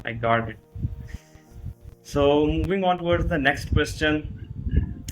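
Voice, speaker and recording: A man's voice: on the high side, takes it slowly, soft background music, poor audio. A man hosting a podcast, introducing a guest and asking questions in a formal way with hesitant fillers. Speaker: podcast host.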